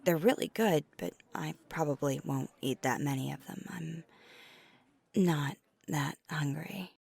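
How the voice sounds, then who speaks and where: A woman plays a flirty, caring female vampire in an intimate ASMR audio roleplay. Her voice sits low, with a soft creak and a breathy edge that nears a whisper. She talks slowly and gently, halting as she searches for words. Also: exaggerated voice. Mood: warm, casual, then gentle, concerned. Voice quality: breathy, near-whispered in places. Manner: soft-spoken, often breathy, hesitant and halting.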